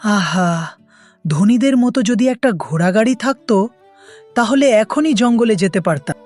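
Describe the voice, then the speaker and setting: A woman plays a needy cartoon beggar, humble and wistful. The voice sits at a medium pitch for a female speaker, over faint music. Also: expressive, unhurried, a little mellow. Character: poor, humble village beggar longing for rich folks' comforts. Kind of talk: Bengali cartoon fairy-tale voice acting.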